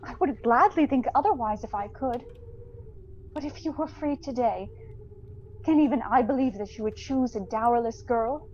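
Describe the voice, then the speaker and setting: An actress as a sorrowful young woman in a period radio play, with a fairly high voice. She speaks with gentle, earnest dignity in measured phrases, on a slightly dull recording with faint noise. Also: natural voice. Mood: sorrowful, firm. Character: sorrowful, dignified young fiancée releasing her beloved. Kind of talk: theatrical audio drama acting.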